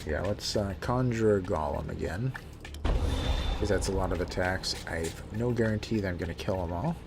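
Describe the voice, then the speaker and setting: A man casually chatting while he plays a game on a live stream, talking in a relaxed, soothing way and often restarting his sentences. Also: deep voice, normal speed, gravelly, noisy background. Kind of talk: casual live gameplay commentary. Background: game music.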